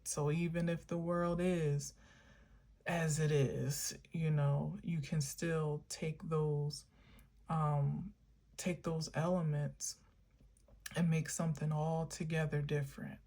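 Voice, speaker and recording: A woman's voice: low voice, level tone, takes it slowly, mellow. A woman vlogger giving an earnest, meandering spiritual monologue, musing aloud with many filler words and encouraging her listeners.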